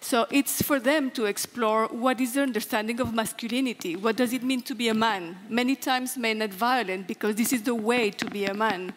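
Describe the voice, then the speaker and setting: Middle aged woman on a conference panel, highish voice, big hall echo. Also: brisk, few pauses, slight background noise. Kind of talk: explanatory panel talk. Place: large conference hall stage. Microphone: headset. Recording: long hall reverb from auditorium sound system.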